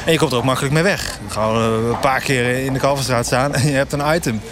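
Guy doing casual male reporter vox pop, medium pitch, traffic behind him, offhand and easygoing. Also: fast, close to an everyday voice. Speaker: reporter interviewed on the street. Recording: clear street and traffic noise.

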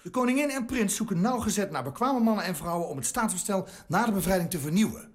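An actor playing an earnest minister loyal to the crown in a radio drama, defensive and hesitant. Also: male voice, sits high, expressive, fast. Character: excitable, loyal male government minister.

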